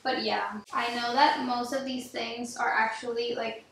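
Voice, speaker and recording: High-voiced young woman in an echoey room. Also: level tone, a little slow, fairly bright tone. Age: young adult. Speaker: vlogger. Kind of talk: casual chat to viewers. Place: echoey home office room, indoors. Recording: echoey room, clear background noise.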